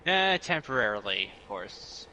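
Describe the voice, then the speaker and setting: A man's voice: on the low side, sing-song, unhurried, sharp tone. A man chatting casually as co-commentator on a video game stream. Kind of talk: casual chat. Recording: clearly noisy background on stream.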